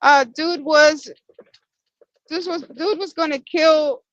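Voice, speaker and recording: A woman's voice: sits high, fairly even intonation, takes it slowly, no room sound, muffled recording. A woman from Washington Heights whose first language is Dominican Spanish. She co-hosts a casual livestream from a moving vehicle, reads viewer comments aloud and laughs easily. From Washington Heights, Manhattan. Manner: chatty, laughs easily, apologetic when she loses her place. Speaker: co-host. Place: inside a moving vehicle on a bumpy road.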